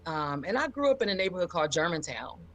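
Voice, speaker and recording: High-energy American woman, lowish voice. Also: quick pace. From Philadelphia, United States. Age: middle-aged. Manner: high-energy, emphatic, expressive. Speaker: guest telling her own story. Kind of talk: personal storytelling on a live show.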